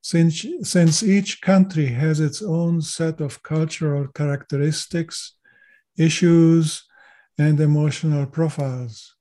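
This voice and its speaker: An older man who grew up in Chile, with German as his first language, talks as a guest on a podcast. His voice sits a little high but has a dark, mellow tone. He speaks in a measured, formal way. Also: fairly even intonation. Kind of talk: podcast interview.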